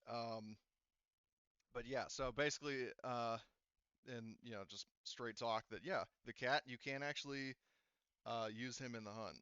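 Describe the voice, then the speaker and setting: A man improvising tabletop game narration as game master, halting and explanatory, often circling back to clarify. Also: medium pitch, fairly even intonation, bright, forceful tone, clean tone, no room sound, highs cut off.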